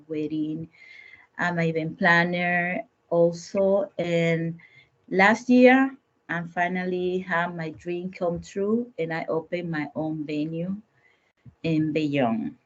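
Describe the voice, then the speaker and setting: Slow-talking woman with a Dominican Spanish accent. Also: lowish voice. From Dominican Republic. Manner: halting, simple non-native English. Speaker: small business owner, event planner. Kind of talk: roundtable remarks. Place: home, on a video call. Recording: muffled video call audio.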